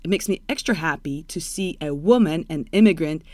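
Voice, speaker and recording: A woman from Russia hosts an interview in a chatty, candid manner. Her voice sits low for a woman and sounds close and dry, with no room in it. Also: fairly quick, a little mellow, no echo. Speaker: podcast host.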